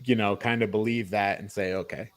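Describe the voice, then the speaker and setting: A man with a fairly low voice talks casually, like a co-host reviewing a film with friends. His delivery is halting and rambling, and the recording is dry with very little room sound. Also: steady tone, no room sound. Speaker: podcast co-host.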